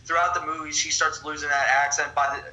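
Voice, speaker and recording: Male co-host, bright voice, lively and crude, mocks with impressions. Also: on the high side, fast, rough recording. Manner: animated, profane, mocking impressions. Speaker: podcast co-host. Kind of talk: casual movie chat.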